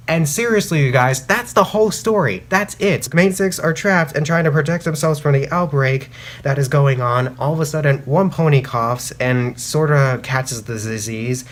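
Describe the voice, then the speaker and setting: A man's voice: on the high side, normal speed. A man hosting a review series, giving casual, opinionated commentary and emphatically repeating phrases for stress. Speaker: host of a fan fiction review series. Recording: clearly noisy amateur recording.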